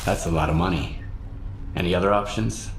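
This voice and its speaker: Casting: cool, blunt video game mercenary, deep voice, terse delivery, noisy background. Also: male voice, brisk. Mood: guarded. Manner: matter-of-fact, blunt. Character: tough, terse lone mercenary, cool and unflinching.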